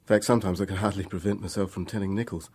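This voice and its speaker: A man's voice: deep voice, steady tone, racing, a little mellow, slightly rough. An actor playing a troubled young man making a hesitant, candid confession in a radio drama. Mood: burdened, rueful.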